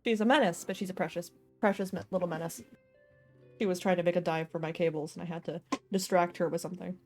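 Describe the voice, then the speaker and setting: A woman's voice: lowish voice, fairly even intonation, fast, a little mellow. A woman doing casual live video game commentary, thinking aloud in a playful way. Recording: faint game music behind the voice. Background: game soundtrack.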